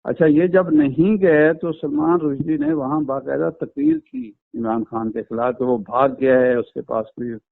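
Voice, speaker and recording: A man from Pakistan giving a phone interview, explaining his account in a measured, earnest way with frequent hesitations. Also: fairly high pitch, lively intonation, vocal fry. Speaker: interview guest. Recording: tinny phone line.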